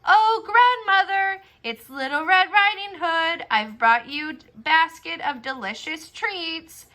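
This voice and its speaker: A woman's voice: very high-pitched, expressive, slow, pushed and bright, pitched above the performer's own voice, exaggerated voice. A woman voicing an innocent, trusting little girl in a storybook tale, with a sweet singsong manner. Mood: cheerful.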